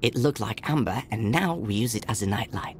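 A man's voice: fairly low pitch, animated, a little fast, noisy background, lightly acted. A man playing an earnest, caring young country boy and protective older brother, narrating reflectively in a video game.

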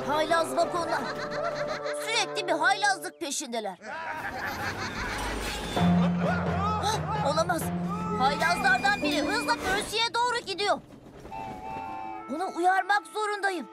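Very high boyish little train, proud and eager, kids cartoon, music behind. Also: female voice, moderate pace, over a noisy crowd. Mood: urgent. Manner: boastful, expressive, boyish. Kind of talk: animated children's cartoon dub.

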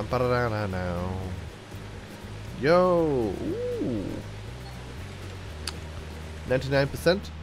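A young man with a stuffed-up cold voice, giving jokey let's-play commentary while he plays and riffing to himself. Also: mid-range voice. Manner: goofy, jokey, sings and riffs to himself. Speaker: gaming YouTuber.

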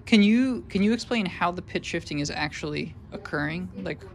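Man instructor, gravelly voice, brief curious questions, faint noise. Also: high-pitched, expressive, normal speed. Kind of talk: asking questions at a demo.

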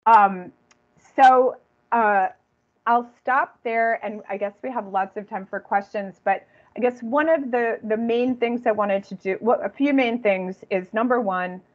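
A woman giving an informal academic talk online, halting and often rephrasing as she goes. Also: a little high. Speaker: guest lecturer and author. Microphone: computer video call. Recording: dull, lo-fi video call audio.

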